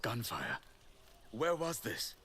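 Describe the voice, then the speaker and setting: An actor playing a courteous, dutiful samurai lord in a video game, speaking in a measured, formal way. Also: male voice, medium pitch, wildly swooping pitch, pushed and bright, a little hiss or hum, exaggerated voice. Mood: earnest, courteous. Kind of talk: video game dramatic acting.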